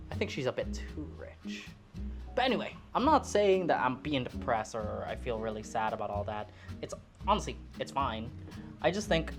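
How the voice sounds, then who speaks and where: A woman in her twenties from the Philippines, chatting casually and self-deprecatingly while she cooks in her home kitchen. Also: very deep voice. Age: 20s. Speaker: cooking vlogger. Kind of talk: casual rambling chat while cooking.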